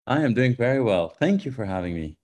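Interview guest from Lebanon, warm dark voice, reflective with frequent fillers. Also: male voice, medium pitch, big pitch swings, fairly quick. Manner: thoughtful, many fillers. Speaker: guest, nonprofit CEO. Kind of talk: interview answers.

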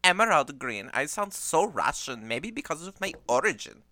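A woman in a casual group chat who reads a profile aloud, laughs loudly and swears. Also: sits low, big pitch swings, normal speed, no room sound, clean studio sound. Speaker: podcast co-host reading aloud.

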